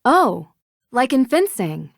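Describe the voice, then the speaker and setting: An actress voicing a composed, inquisitive young American woman detective in an adventure game, politely probing and gently teasing. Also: on the high side, very animated, takes it slowly, creaky, dry recording, studio quality, heavily acted. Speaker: video game protagonist, voice actress. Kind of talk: acted dialogue in an adventure game.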